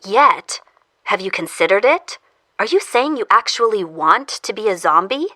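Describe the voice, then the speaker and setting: A woman playing a controlled, earnest official who interrogates someone in an audio drama, speaking formally and in measured phrases. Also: mid-range voice, lively intonation, pushed and bright, dry recording. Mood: anxious. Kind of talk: audio fiction acting.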